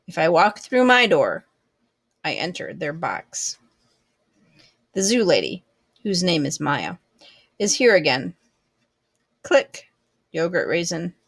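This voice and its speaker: A woman reading a children's book aloud expressively, with deliberate, measured phrasing. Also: fairly low pitch, very animated, gravelly, fairly bright tone, slightly rough, no room sound. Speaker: storybook reader.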